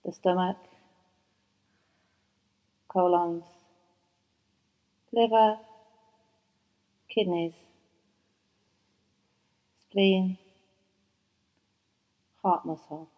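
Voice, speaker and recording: A woman teaching yoga nidra, guiding a relaxation meditation in a soft, soothing voice, slow and unhurried with long pauses. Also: lowish voice, steady tone, rough recording. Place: yoga training course.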